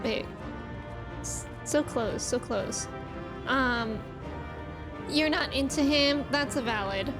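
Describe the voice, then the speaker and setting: A woman's voice: high-pitched, slow pace, pauses often, over music. A woman chatting casually and playfully as she livestreams a video game, reacting and greeting viewers.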